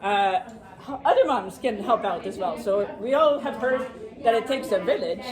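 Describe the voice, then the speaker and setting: A woman with a French accent gives an informal live workshop as presenter, talking quickly and chattily with self-deprecating humor. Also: medium pitch, animated, a crowd in the background, low-quality recording.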